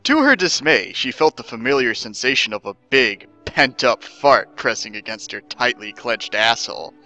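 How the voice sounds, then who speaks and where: A man's voice: medium pitch, big pitch swings, moderate pace, sharp tone, soft background music. A man reading a scripted story as narrator, in a mock-serious, theatrical dramatic style.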